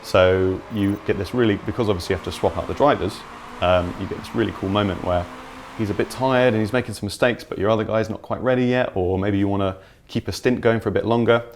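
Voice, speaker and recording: Low-pitched man explaining in casual chat. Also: quick pace, few pauses. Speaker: game developer being interviewed.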